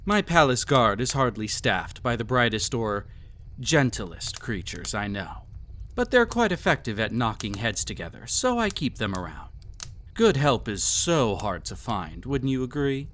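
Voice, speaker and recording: A man's voice: mid-range voice, sing-song, a bit bright, slight background noise. A man playing a cruel, arrogant emperor in a scripted audio roleplay, speaking in a formal, mocking, condescending way. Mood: smooth condescension. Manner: haughty, formal, mocking, deliberate theatrical phrasing. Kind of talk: scripted audio roleplay, villain monologue addressed to listener.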